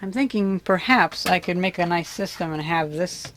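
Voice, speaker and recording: A vlogger talks casually through a hands-on demonstration in a mid-pitched voice. The delivery is unscripted and tentative, thinking aloud, with light noise and clicks in the recording. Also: normal speed, fairly bright tone. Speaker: vlogger demonstrating vintage audio gear.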